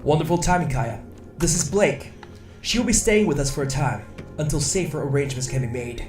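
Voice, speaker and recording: A man acts out an earnest sci-fi security officer in an audio drama. He speaks formally and with measured resolve, in a roomy echoing space with music underneath. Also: fairly high pitch, darkish tone, distant mic, lightly acted. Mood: courteous.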